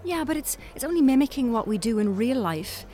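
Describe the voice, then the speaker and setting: Blunt, high-pitched Irish woman played by an actress. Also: animated, dark tone, close to an everyday voice. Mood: frank. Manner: frank, dry, brisk. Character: blunt, dating-weary Irish woman on a first date. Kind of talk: naturalistic audio drama dialogue.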